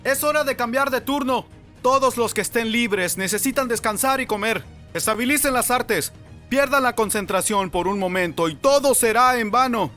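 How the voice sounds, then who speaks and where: A man's voice: very high-pitched, moderate pace, bright, forceful tone, faint music behind. A man playing a stern, stressed military commander in an anime-style story, speaking with urgency. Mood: commanding.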